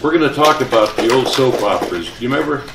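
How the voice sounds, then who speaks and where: A man's voice: medium pitch, expressive, fast, gravelly. An older man, a retired announcer, giving a live talk of anecdotes and reminiscences to fellow residents. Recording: echoey room, distant mic, faint noise.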